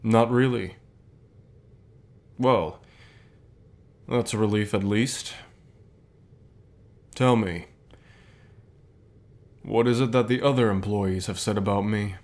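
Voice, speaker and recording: A man playing a calm, reassuring company boss with gentle authority, speaking softly in measured phrases for an ASMR roleplay. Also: fairly low pitch. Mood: gentle, earnest. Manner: measured, soft-spoken, deliberate pauses. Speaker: voice actor in an audio roleplay.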